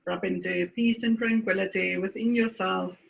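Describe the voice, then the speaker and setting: A woman from South Africa leading a guided meditation, speaking in deliberate phrases with long pauses. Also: medium pitch, fairly even intonation, gravelly, lo-fi sound.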